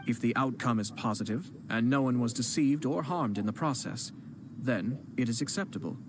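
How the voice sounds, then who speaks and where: A man's voice: mid-range voice, normal speed, noisy background, lightly acted. An actor playing a composed, gracious young nobleman in a game, speaking in a polished, courteous way. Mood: calm. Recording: game audio with music underneath. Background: game music.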